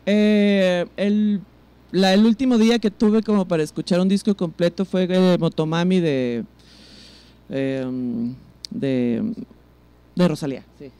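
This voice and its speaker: A woman's voice: fairly low pitch, fairly even intonation, takes it slowly, warm tone, clean tone. A Mexican woman speaks as a guest on a podcast. She tells anecdotes in a casual, conversational way, using plenty of informal slang. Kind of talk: casual chat, telling anecdotes.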